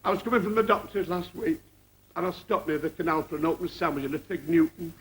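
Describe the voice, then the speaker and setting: A man as a high-pitched gossipy old panto dame. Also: fast, a little hiss or hum, lightly acted, poor audio. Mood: confiding, deadpan. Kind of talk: stage comedy patter.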